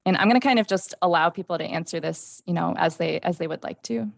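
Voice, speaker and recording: A woman's voice: lowish voice, fast talker, gravelly, dry recording, studio quality. Woman moderating a video panel. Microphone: video call.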